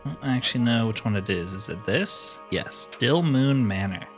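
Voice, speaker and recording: A man's voice: medium pitch, animated, moderate pace, faint music behind. A man hosting a let's play with casual, laid-back, rambling commentary. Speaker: host of a gaming series.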